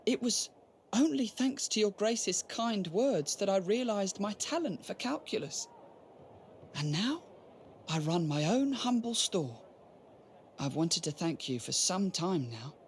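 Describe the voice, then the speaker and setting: A courteous, lively-toned young merchant. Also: male voice, high voice, measured pace, slight background noise, exaggerated voice. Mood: grateful, respectful. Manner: polite, formal, deferential. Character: humble, courteous, self-assured young male merchant. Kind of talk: video game audio drama acting.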